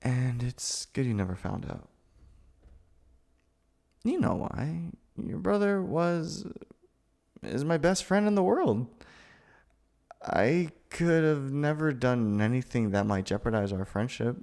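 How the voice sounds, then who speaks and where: A man acting as a nervous, animated young guy. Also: mid-range voice, takes it slowly, gravelly, pauses often. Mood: shy, confessional. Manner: hesitant, stammering, flustered. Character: nervous, awkward, lovestruck young man, sweet and gentle. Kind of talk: ASMR boyfriend roleplay acting.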